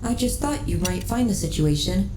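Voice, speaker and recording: A woman playing a lonely, devoted female starship AI in a soft ASMR sci-fi audio roleplay, speaking in measured, politely formal phrases. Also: lowish voice, expressive, natural voice. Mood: apologetic, wistful. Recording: roomy echo, faint background noise.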